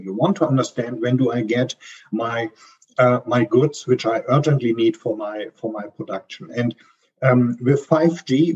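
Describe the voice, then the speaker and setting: German man, hesitant consultant guest, husky and mellow, slightly dull audio. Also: mid-range voice. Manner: hesitant, many ums and restarts. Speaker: supply chain consultant guest. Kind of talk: podcast interview answers.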